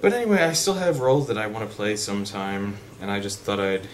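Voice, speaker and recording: A young man with a deep voice chatting unscripted in a vlog, rambling with fillers and restarts. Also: quick pace. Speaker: vlogger. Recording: background noise.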